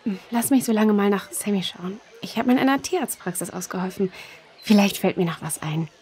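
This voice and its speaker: A woman playing a practical, caring girl with a husky voice, calm and matter-of-fact in a children's audio drama. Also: medium pitch, fairly quick. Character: practical, caring, level-headed girl companion.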